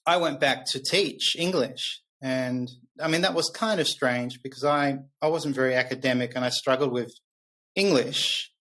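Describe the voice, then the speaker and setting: A man's voice: mid-range voice, moderate pace, a bit bright. A middle-aged man with an Australian accent telling personal stories conversationally as a podcast guest, candid and reflective.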